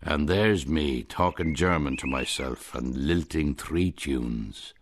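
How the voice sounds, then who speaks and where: Very deep-voiced Irish man narrating. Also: slow pace, a little hiss or hum. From Ireland. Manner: wry, rueful storyteller, lyrical lists. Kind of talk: scripted first-person radio narration.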